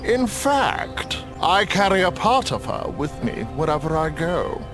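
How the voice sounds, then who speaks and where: An actor playing a sly old necromancer in a video game, mockingly courteous and gleefully macabre, with a theatrical drawl. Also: male voice, fairly high pitch, big pitch swings, unhurried, music in the background. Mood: persuasive, smooth. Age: older. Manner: theatrical, drawling, mock-sweet. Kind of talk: video game cutscene acting.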